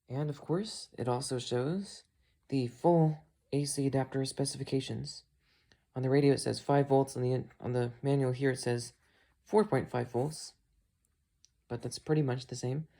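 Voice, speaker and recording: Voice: mid-range voice, dark tone. A hobbyist talking casually through a hands-on product demo, thinking aloud in a musing, matter-of-fact way. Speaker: amateur video maker, radio hobbyist.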